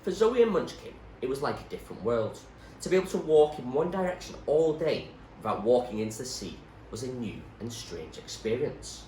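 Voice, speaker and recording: A man's voice: medium pitch, sing-song, a little fast, hardly any pauses, dark tone. A man reading a story aloud as narrator, with a dramatic, emphatic delivery. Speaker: teacher reading a novel aloud. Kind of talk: scripted story narration, read expressively. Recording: roomy echo, faint background noise.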